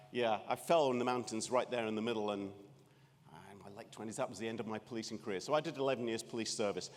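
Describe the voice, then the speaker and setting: Scottish man in his fifties, dry self-deprecating humor, keynote in a big echoey room. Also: on the low side. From Scotland. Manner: wry, self-deprecating, many restarts. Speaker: keynote speaker. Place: large conference room. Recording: reverberant conference hall.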